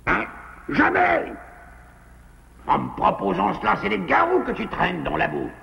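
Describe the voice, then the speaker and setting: A proud schemer wolf from a french cartoon, super gravelly and high, swings all over the place, bright edge, some echo and music behind. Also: male voice, wildly swooping pitch, measured pace, heavily acted. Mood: proud, indignant. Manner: blustering, theatrical, snaps insults. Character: boastful proud male wolf, honor-obsessed schemer.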